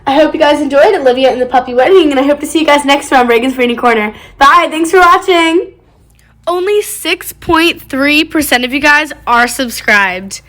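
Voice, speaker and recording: A woman's voice: sits high, expressive, moderate pace, a bit bright, roomy sound. A female storybook reader narrating a children's picture book aloud. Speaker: storybook reader, channel host.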